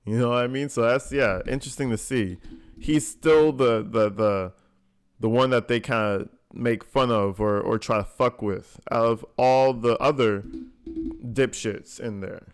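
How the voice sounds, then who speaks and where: A man's voice: medium pitch, a little slow, clean tone. A man giving casual, blunt reaction commentary, slangy and full of swearing. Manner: blunt, informal, conversational. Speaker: commentator host reacting to a jail call recording.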